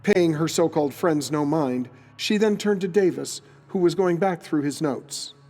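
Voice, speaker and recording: A man's voice: on the high side, normal speed, a little mellow. A man reading scripted narration for an audio drama. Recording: long reverb, music behind the voice.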